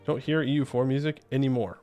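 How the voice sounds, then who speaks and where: A man hosts a gaming livestream in a fairly high voice that moves up and down with great animation. He jokes casually, repeats himself for effect and replies to viewers as he goes. Faint music plays behind him. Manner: playful, joking, repeats phrases. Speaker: game streamer. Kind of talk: casual livestream chat, reading and answering viewer comments.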